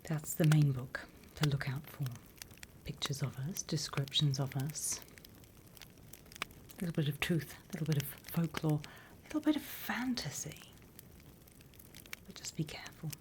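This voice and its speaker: A British woman playing a sly, mock-stern demon teacher in a whispered ASMR roleplay, addressing her trainees with deliberate, teasing menace. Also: low voice, lightly acted. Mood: wry, instructive.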